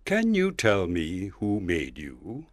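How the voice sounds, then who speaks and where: A man's voice: mid-range voice, huge pitch swings. A man playing an elderly minister in a dramatized audiobook, with a theatrical delivery. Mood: solemn. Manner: slow, theatrical, swooping delivery. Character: solemn elderly minister questioning a small child.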